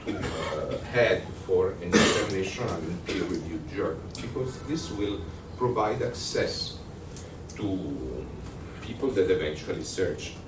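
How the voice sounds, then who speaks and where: A man with an Italian accent giving a workshop lecture, conversational and halting, with many fillers and restarts. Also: deep voice, animated. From Italy. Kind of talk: workshop lecture with audience questions. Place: lecture room. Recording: echoey room, distant mic, noisy.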